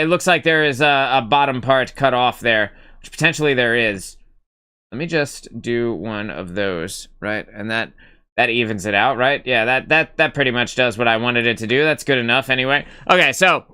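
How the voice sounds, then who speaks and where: A man's voice: mid-range voice, sharp tone, clean tone. Laid-back rambling male streamer. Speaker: live-stream host. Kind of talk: casual gaming stream chat.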